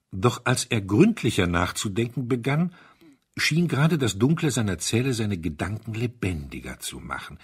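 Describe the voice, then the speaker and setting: Male narrator for literary audio drama: lowish husky voice, suspenseful and measured delivery.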